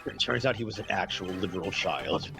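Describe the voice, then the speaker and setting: A man's voice: low-pitched, expressive, fairly quick, creaky, over music, low-quality recording. A man giving casual, joking commentary on a video game as part of a dub team.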